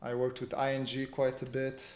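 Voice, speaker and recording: A man's voice: medium pitch, monotone, moderate pace. A man, chatting casually and informally as he hosts a drawing livestream. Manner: informal, reads viewer questions aloud and answers. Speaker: livestream host, illustrator. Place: small indoor home room. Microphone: desk microphone on improvised stand. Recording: roomy echo, faint background noise.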